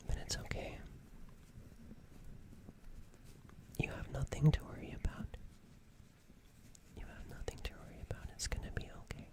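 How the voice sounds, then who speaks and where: A performer playing a gentle, nervous alien in a whispered ASMR roleplay, speaking in a breathy, hesitant, stammering and soothing way. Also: natural voice. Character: gentle, nervous alien caring for an injured human.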